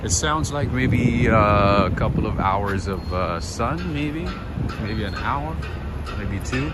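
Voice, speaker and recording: A man's voice: on the low side, expressive, slow, loud music behind the voice. A man hosting a live walking tour, chatting casually and reading viewer comments aloud as he walks outdoors. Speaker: livestream walking tour host. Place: outdoors on busy city streets. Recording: loud street noise from outdoors.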